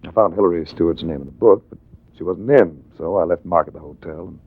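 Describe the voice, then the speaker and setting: A man's voice: deep voice, fast talker. A man playing a wry, self-assured hardboiled investigator in 1940s radio drama style, narrating and talking. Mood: wry narration. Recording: tinny, noisy vintage radio recording.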